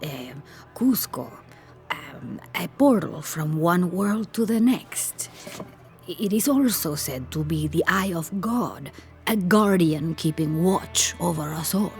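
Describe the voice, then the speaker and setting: Wise guide: lowish, very slow, calm and musing, game drama over music. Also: female voice. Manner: unhurried, musing. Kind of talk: video game cutscene acting. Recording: music under the voice.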